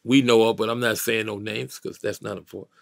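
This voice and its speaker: A man in his fifties with a gravelly voice and a New York accent, telling a story in slangy, emphatic, streetwise interview talk. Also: fairly low pitch, fairly even intonation, a little fast, no room sound, clean studio sound. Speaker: interview guest. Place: small indoor recording studio, dry sound.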